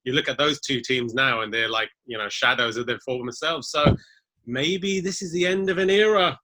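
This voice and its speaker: A bright, forceful voice from a man with an English accent. He hosts a casual podcast and keeps the talk loose and chatty, full of "you know" fillers. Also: medium pitch, moderate pace. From England. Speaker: podcast host.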